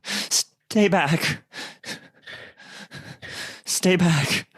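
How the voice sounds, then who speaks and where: A man acts out a scared young woman in an improvised radio play. The voice is pushed high, breathless and halting, pleading with a defiant edge. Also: a bit bright, slightly rough, no room sound, exaggerated voice. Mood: scared defiance.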